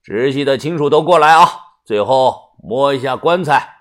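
A man voicing a commanding village feng shui master in an audio story, with bossy, ritual, drawn-out delivery. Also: medium pitch, natural voice. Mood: directing.

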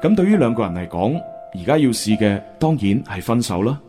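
A man's voice: lowish voice, big pitch swings, fairly quick. A man reading scripted storytelling narration for a radio drama. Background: music with plucked guitar.

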